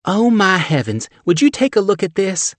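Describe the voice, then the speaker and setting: A man's voice: sits high, sing-song, moderate pace, dry recording, pitched above the performer's own voice. A man voicing a brash, flirtatious woman. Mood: brash flirty. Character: brash flirty Southern woman, loud and bold.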